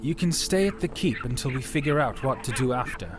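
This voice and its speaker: Earnest mid-pitched fantasy commander man acting. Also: animated, brisk, close to an everyday voice. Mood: sincere, caring. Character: earnest, caring male commander of a fantasy band. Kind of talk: audio fantasy drama acting.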